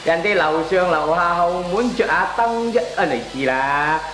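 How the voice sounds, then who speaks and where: An actor playing a blustering but reluctant neighbour in a radio comedy, rambling and exclaiming in colloquial Hong Kong Cantonese. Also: male voice, high voice, moderate pace, a bit bright, roomy sound, low-quality recording. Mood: grumbling, animated. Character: blustering, reluctant male neighbour, all talk.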